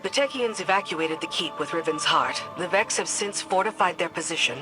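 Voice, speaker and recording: An actress playing a loyal, battle-hardened lieutenant in a video game, speaking in a dry, businesslike, earnest way. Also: female voice, on the low side, expressive, a little fast, natural voice, poor audio. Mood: businesslike, assured. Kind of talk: scripted video game dialogue. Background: game music.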